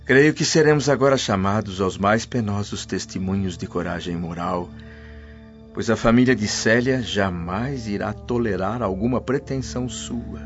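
A man's voice: lowish voice, normal speed, close to an everyday voice. An actor playing a devout, tender and anxious father, a Roman freedman, in an earnest radio drama style. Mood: tender, solemn. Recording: muffled lo-fi audio with music behind.

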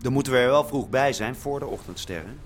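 A man's voice: mid-range voice, fast talker, background noise, lightly acted. An actor playing a cheeky, teasing young man in an audio drama.

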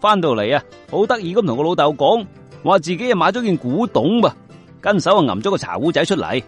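A man with a Guangzhou Cantonese accent telling scripted folk stories in an expressive, colloquial, explanatory way. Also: a little high, a little fast, soft background music. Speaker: storyteller and presenter of Cantonese folk sayings. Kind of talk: lively scripted storytelling narration.

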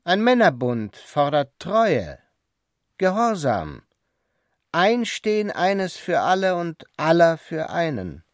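A zealous, self-important male prophet for radio drama. He declaims slowly and swings widely in pitch, stressing his pronouncements heavily. The sound is dry and close. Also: huge pitch swings, dry recording, heavily acted. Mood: fervent, preaching. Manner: declamatory, emphatic, slow pronouncements. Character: pompous male prophet and writer, zealous, dismissive of women. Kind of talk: literary radio drama acting.

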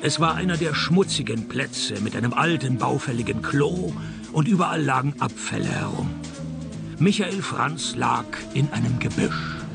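An actor playing a wry, cynical private detective in a radio crime comedy, speaking in a dry deadpan way. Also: male voice, mid-range voice, moderate pace, gravelly, music in the background, full character voice. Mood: dry narration. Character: wry, cynical male private detective, world-weary and unimpressed. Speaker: lead actor and first-person narrator.

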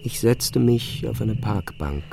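An actor playing a troubled man who recounts his dark memories in measured, grave, suspenseful literary narration. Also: medium pitch, lively intonation, gravelly, over music. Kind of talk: literary first-person past-tense narration in audio drama.

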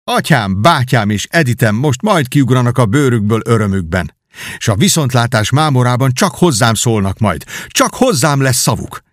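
A man reading aloud and voicing an excited young knight who returns home and talks without pause. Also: mid-range voice, no echo, studio quality. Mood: eager. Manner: eager, exclamatory, talkative. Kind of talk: audiobook reading.

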